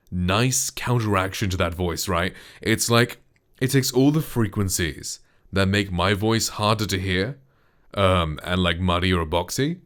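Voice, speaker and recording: A man with a deep, dark voice, a voice actor chatting casually while reviewing a studio microphone he speaks into up close. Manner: relaxed and chatty, lots of loose filler words. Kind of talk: casual gear review with voice demos. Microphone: close studio condenser microphone.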